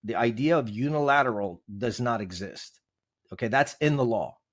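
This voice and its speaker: A man's voice: mid-range voice, expressive, moderate pace. A man, a podcast guest, explaining legal text in conversation, emphatic and quizzing the host with rhetorical questions.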